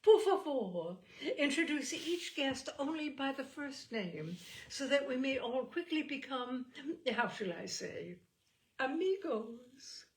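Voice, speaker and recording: A woman's voice: high-pitched, sing-song, slow pace, pitched above the performer's own voice, exaggerated voice, rough recording. A woman voicing a gracious, playful Peruvian singer in a storybook reading, formal and effusive.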